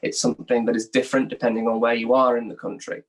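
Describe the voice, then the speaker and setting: A man's voice: medium pitch, flat delivery, fast, rough recording. A young man from England giving informal, thoughtful interview answers as a journalist, often hedging as he talks. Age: young adult.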